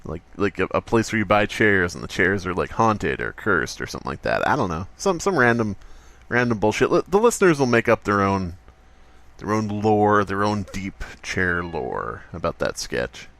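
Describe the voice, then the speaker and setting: A man's voice: low voice, very animated, normal speed, vocal fry, a bit bright, no room sound. A man co-hosting a podcast in casual, joking chat. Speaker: podcast co-host.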